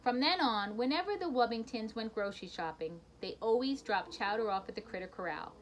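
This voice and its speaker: Animated, higher-voiced woman reading a story. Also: fairly quick, slight background noise, low-quality recording. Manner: animated storytelling with brief asides to listeners. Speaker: picture book reader. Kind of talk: expressive children's story read-aloud.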